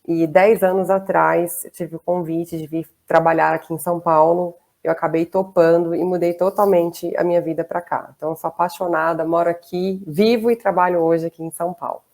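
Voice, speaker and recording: A woman's voice: lowish voice, fairly even intonation, fairly quick, gravelly, hardly any pauses. A woman in her thirties with a Goiânia accent, chatting casually as an interview guest, with frequent fillers and small laughs. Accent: Goiás Brazilian Portuguese. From southern Brazil, raised in Goiás. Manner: chatty, warm laughs between phrases, many fillers.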